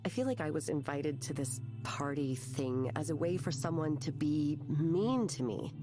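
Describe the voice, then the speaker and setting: An actress playing an anxious, self-mocking young artist in a visual novel, with an American accent and a hesitant, rambling way of talking. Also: female voice, lowish voice. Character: nervous, self-deprecating, struggling young woman artist. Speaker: counseling client. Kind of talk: visual novel voice acting, conversational confession.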